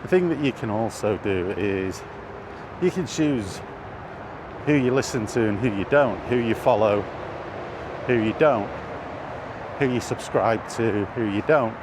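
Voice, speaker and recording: Middle aged british guy chatting off the cuff outside, lowish voice, clear street noise behind him. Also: lively intonation, a little slow. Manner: hesitant, thinking aloud. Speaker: vlogger. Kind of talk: rambling casual commentary. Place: outdoors while walking in an urban area. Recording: noisy outdoor recording with traffic. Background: clear street and vehicle noise.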